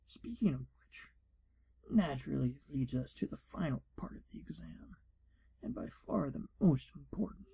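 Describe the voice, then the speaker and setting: A shifty, pompous male wizard proctor, acted out by a man for an ASMR fantasy role play. The voice is very dark and hushed, full of husky breath and heavy creak. The delivery is wry and theatrical, as if by a self-important examiner dodging questions. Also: fairly high pitch, heavily acted. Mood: condescending. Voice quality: hushed, breathy, half-whispered.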